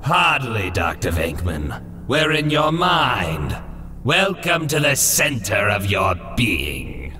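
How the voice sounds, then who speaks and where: A man's voice: on the low side, very animated, slow, bright, forceful tone, soft background music, exaggerated voice. A man playing an urbane, arrogant, soul-eating bogeyman demon in a comic dub, speaking formally and imperiously. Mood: smooth menace. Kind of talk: comic dub villain acting.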